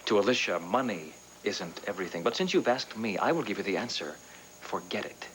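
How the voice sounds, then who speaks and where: A cool, deep-voiced hotel owner. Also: male voice, expressive, fairly bright tone, a little hiss or hum, poor audio. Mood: sardonic. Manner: measured, formal, dry sarcasm. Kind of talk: daytime soap acting.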